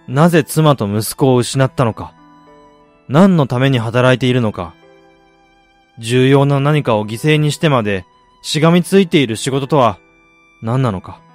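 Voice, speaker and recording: An actor playing a weary, reflective middle-aged man in a radio drama, recounting his losses in a measured, confessional way. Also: mid-range voice, animated, faint music behind, lightly acted. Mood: sorrowful, resolute. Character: weary man who lost family, confessional, brooding. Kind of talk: Japanese radio drama acting.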